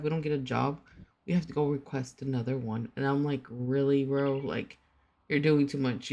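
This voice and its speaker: A young woman streamer who just woke up, groggy and tired, chatting casually with her viewers and laughing often. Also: sits low. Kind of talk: casual chat.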